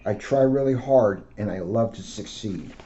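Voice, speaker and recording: A man, a police officer, reading aloud to children in simple, deliberate phrases. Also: fairly low pitch, mellow. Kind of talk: read-aloud storytelling with casual asides. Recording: dull lo-fi sound.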